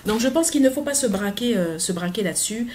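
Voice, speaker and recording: A middle-aged woman, talking earnestly in a personal vlog, pausing often with hesitant fillers. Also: a little high, expressive, darkish tone, a little hiss or hum. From Côte d'Ivoire. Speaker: author.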